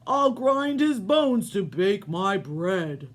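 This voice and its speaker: A woman reading aloud, voicing a huge, greedy, bad-tempered male giant with slow, roaring commands. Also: mid-range voice, sing-song. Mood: menacing.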